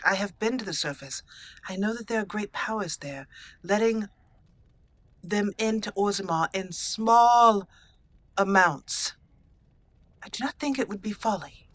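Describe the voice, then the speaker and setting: A woman voicing a proud, dignified exiled noblewoman warrior in a roleplayed video game, speaking formally and deliberately. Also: mid-range voice, a bit bright. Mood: earnest, persuasive.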